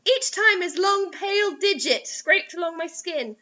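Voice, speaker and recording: A woman's voice: very high-pitched, animated, unhurried, bright, forceful tone. A young woman reading bad fiction aloud in a theatrical, overwrought, mocking way with asides. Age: young adult. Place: small indoor room. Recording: dull lo-fi webcam sound.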